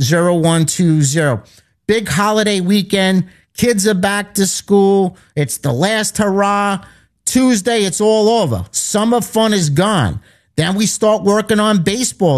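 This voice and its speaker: A middle-aged man from eastern Pennsylvania, hosting a sports betting show and pushing his picks, rattling off numbers in a salesy, rapid-fire way. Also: high voice.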